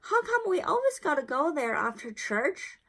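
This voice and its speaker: A woman's voice: high voice, sing-song, moderate pace, fairly bright tone, exaggerated voice. A woman voicing a young, curious boy who complains and questions in a colloquial way. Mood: complaining.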